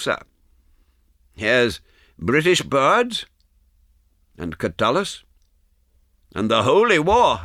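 A man voicing a wizened old book collector in a storybook, croaking, obsequious and rambling in a deferential way. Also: medium pitch, sharp tone, no echo, clean studio sound, pitched above the performer's own voice.

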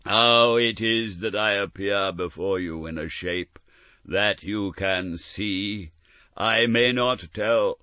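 A tormented chained spectre, put on by a man reading a storybook, who wails in slow, drawn-out cries. The voice climbs well above the reader's own. It sounds bright, dry and a little dull. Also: lowish voice, clear, resonant tone, no room sound, voice pushed up. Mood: solemn. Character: wailing chained male ghost, anguished and solemn.

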